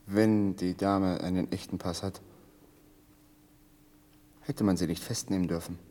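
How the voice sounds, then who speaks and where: Commanding, low-voiced officer in reverb. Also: male voice, fairly even intonation, mellow. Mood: coolly courteous. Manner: measured, authoritative, formally polite. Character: commanding male officer, icily courteous, menacing, capricious. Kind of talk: German radio drama acting. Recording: long hall reverb.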